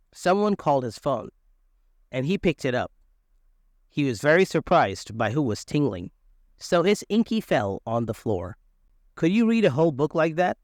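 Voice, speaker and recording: A man narrating a scripted English lesson with a somewhat high voice that moves up and down a lot. His reading is clear and even. The sound is dry and close, with no room around it. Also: no echo.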